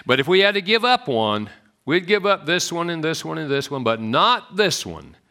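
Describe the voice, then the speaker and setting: A man with a Southern US accent giving an animated, joking church talk on marriage to an audience. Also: moderate pace. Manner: animated and joking, swoops in pitch. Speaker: speaker on marriage.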